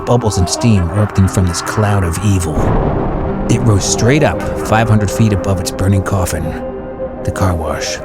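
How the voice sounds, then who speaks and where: Casting: male actor as haunted, bitter convict, low voice, brooding first-person horror narration over a music bed. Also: moderate pace, close to an everyday voice. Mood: haunted, ominous.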